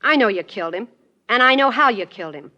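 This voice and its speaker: A tough young dame in a 1940s radio mystery. Her voice sits fairly high, with clipped, intense, insistent delivery. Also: expressive, quick pace, sharp tone, highs cut off. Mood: urgent, pleading. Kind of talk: 1940s radio detective drama acting.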